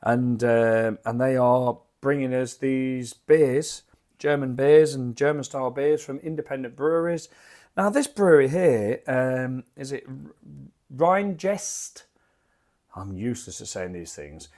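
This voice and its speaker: A man with a croaky voice from a lingering cough, rambling in a chatty, self-deprecating way as he reviews beer at his home bar into a desk microphone. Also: medium pitch, measured pace, darkish tone.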